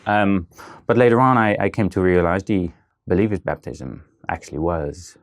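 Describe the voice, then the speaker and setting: A man's voice: low-pitched, moderate pace. A man in his thirties with a Dutch accent, speaking as an interview guest in a hesitant way, with many fillers and restarts. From Netherlands. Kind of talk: casual interview talk.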